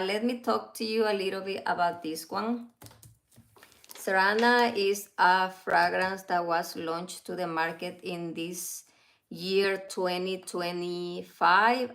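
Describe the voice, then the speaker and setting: A woman and mother whose first language is Spanish, hosting a casual live stream at home, talking slowly with many fillers and small laughs. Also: mid-range voice, vocal fry, a bit bright. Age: adult, a mother. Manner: slow, halting, with frequent fillers and light laughs.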